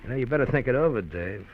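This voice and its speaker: Smooth mocking gangster, chuckles through his threats, lo-fi 1950s radio play w faint hiss. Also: mid-range voice. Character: menacing smooth-talking male gangster, mocking. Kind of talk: 1950s radio drama acting.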